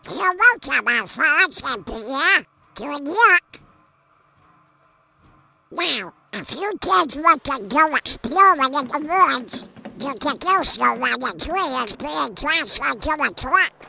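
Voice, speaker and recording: Woman voicing a piercing, squeaky cartoon duck uncle. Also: lively intonation, takes it slowly, gravelly, sharp tone, full character voice. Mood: reassuring. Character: male cartoon duck, plucky excitable uncle. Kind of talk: comic dub cartoon acting.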